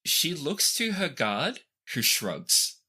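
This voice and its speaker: Bright-voiced male game master. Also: fairly high pitch, big pitch swings, takes it slowly, husky. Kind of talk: narrating a tabletop game.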